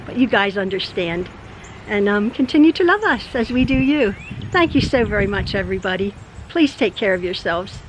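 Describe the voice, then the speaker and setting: An older woman recording a casual vlog in her backyard, speaking with a hoarse, tired voice as she rambles with many fillers and laughs. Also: animated, moderate pace, background noise. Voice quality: high voice. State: hoarse, tired after illness. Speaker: channel host. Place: outdoors in a backyard. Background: birdsong.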